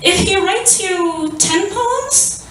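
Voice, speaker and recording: A young woman doing stand-up in a small venue, her high, bright voice swinging around a lot as she rambles with self-deprecating asides. The mic sits a bit away from her, and the room rings with heavy echo. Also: lively intonation, slow pace, slight background noise. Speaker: stand-up comedian. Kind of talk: stand-up comedy set. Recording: echoey room, mic from a distance.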